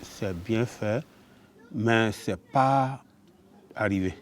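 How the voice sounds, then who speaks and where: A man with a Burkinabe accent giving his opinion in an interview, halting and repeating himself. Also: fairly low pitch, a little hiss or hum.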